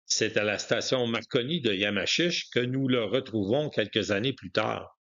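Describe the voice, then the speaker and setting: A man's voice: lowish voice, fairly bright tone, highs cut off. A man reading scripted historical narration as a documentary narrator.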